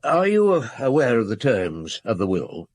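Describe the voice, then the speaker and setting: An actor playing a thoughtful, weary middle-aged chief inspector who ruminates with grunts and hums in a radio drama. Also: male voice, mid-range voice, huge pitch swings, normal speed, dry recording, clean studio sound. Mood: polite, tentative. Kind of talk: radio drama acting and first-person narration.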